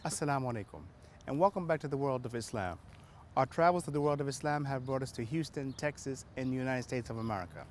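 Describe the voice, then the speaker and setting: A man's voice: mid-range voice, fast. Male host for a field interview, mid-range voice, street and vehicle noise behind. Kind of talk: on-location interview. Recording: clear outdoor street and traffic noise. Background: street ambience and vehicles.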